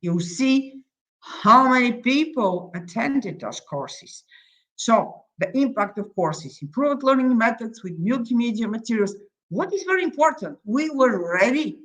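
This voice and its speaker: A woman from Bulgaria with a Bulgarian accent presents a project as its coordinator, in a halting, emphatic way that repeats words. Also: a little high, sing-song, fairly bright tone, rough recording. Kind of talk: conference presentation.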